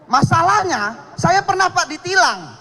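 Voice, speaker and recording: A man with a very high voice performs stand-up on a contest stage. He talks in a cocky, teasing way and mocks people with quick jokes. Laughter from the crowd comes in behind him. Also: sing-song, bright, forceful tone, poor audio. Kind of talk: stand-up comedy set. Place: stage at a live comedy contest. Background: audience laughter.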